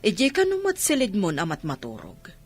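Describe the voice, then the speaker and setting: An actress with an Ilocano Filipino accent playing a suspicious, blunt younger sister in a radio drama. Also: female voice, mid-range voice, wildly swooping pitch, normal speed, slightly rough, soft background music. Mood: probing.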